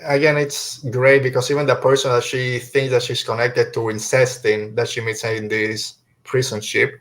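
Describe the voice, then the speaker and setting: Male podcast host for a casual review discussion; average pitch, loose and rambling delivery. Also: flat delivery, normal speed, a bit bright.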